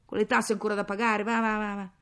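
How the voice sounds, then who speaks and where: A woman's voice: low-pitched, fairly even intonation, moderate pace, mellow. A woman voicing a poor, harried mother who nags and scolds sarcastically but can turn tender, in a dramatic story reading. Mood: scolding. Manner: nagging, sarcastic, exclamatory.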